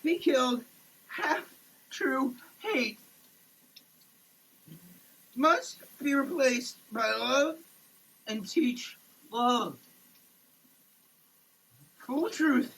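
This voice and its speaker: A person giving a dramatic, declamatory reading in a halting way, often repeating words. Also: high voice, vocal fry, fairly bright tone, breathy edge. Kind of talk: dramatic, theatrical reading of a product label. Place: in a running shower. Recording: noisy wet shower recording.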